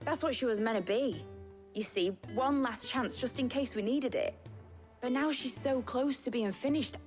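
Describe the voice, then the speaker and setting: Actress as high-voiced, earnest British young shipbuilder. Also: female voice, normal speed, soft background music, close to an everyday voice. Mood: wistful, earnest. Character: earnest, hopeful young female shipbuilder and engineer. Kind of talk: dramatic video game voice acting.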